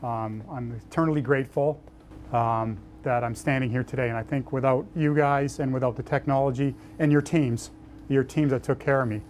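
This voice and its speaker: A man in his fifties speaking earnestly in an interview, pausing with fillers, inside a hospital room. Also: mid-range voice, steady tone, a little mellow, a little hiss or hum. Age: 50s. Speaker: interviewee, heart attack survivor. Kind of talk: casual interview answers. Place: hospital cath lab indoors.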